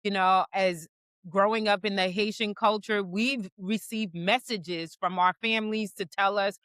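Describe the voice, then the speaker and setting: A woman co-hosting a faith-based talk show, explaining her points in a measured way. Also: mid-range voice, fairly even intonation, fairly bright tone, clear, resonant tone, dry recording, clean studio sound. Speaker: co-host. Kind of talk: casual faith-based discussion.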